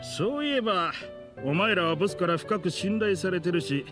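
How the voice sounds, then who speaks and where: A man playing an easygoing, loyal gang henchman in an anime game, with rough, frank, casual speech. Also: sits high, animated, moderate pace. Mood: frank, teasing. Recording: clear background music behind voice.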